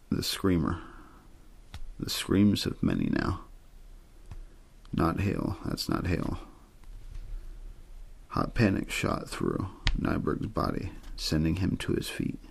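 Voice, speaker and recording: A man reading a story aloud in ASMR bedtime style, with an understated, untheatrical delivery and a gravelly, husky voice. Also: sits low. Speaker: amateur audiobook reader.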